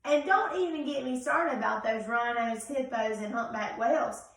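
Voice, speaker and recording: A woman's voice: a little high, normal speed, gravelly. A woman voicing an exhausted, overwhelmed gray crayon from a storybook, complaining and pleading wearily. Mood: weary, overwhelmed. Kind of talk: storybook read-aloud. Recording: very echoey room, distant from mic.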